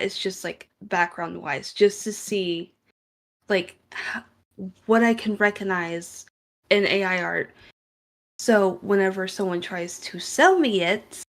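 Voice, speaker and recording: Female voice actor on a panel, unhurried delivery, medium pitch, casual, emphatic, stop-and-start phrasing. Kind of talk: casual opinionated discussion.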